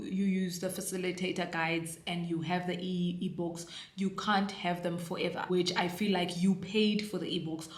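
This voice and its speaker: A South African woman in her thirties talks casually and earnestly to camera, often pausing on "um" and restarting her sentences. Her voice sits a little low. The recording has a noticeable room echo. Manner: chatty, earnest, with frequent fillers and restarts. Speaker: homeschool mom reviewing a curriculum.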